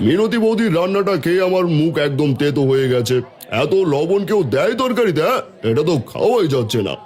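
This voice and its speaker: A man voices a village headman in a cartoon tale, kindly yet in command. The voice is fairly high for a man, over soft background music. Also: sing-song, quick pace. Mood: disgusted. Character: kindly, authoritative male village headman judging a contest. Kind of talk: cartoon fairy-tale voice acting.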